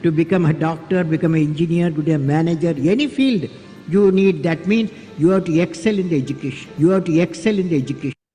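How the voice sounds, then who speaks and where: A man's voice: a little high, takes it slowly, dark tone. A man giving earnest advice to students, repeating his point and checking in with "okay?", from an archive clip in a reverberant hall with faint music.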